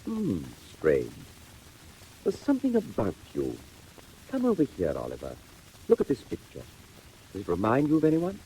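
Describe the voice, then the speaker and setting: An actor playing a kindly, genteel wealthy gentleman in a 1940s audio drama, courteous, inquisitive and theatrical. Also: mid-range voice, wildly swooping pitch, moderate pace, long pauses, a little hiss or hum, poor audio. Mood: gentle, curious.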